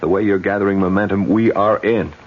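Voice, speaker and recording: An actor playing a brash, persuasive campaign manager in a 1970s radio drama, talking fast and teasing. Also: male voice, low-pitched, steady tone, phone-quality sound, natural voice. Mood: pushy.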